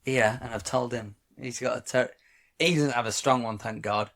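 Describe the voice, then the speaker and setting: Gravelly man, reflective podcast talk. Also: mid-range voice, a bit bright. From Wales. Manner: reflective, halting, many restarts. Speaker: podcast co-host. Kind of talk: casual chat.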